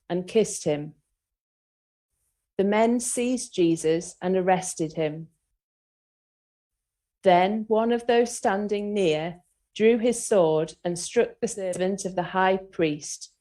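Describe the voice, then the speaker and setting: A woman's voice: mid-range voice, warm tone. A woman giving a solemn reading of scripture as the reader at a worship service.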